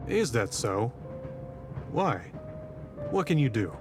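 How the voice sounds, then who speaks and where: An actor playing a composed, skeptical detective in a visual novel, dry and questioning. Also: male voice, mid-range voice, very animated, slow pace. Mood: curious, skeptical. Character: skeptical, composed male detective of imperial Russia. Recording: voice over prominent background music.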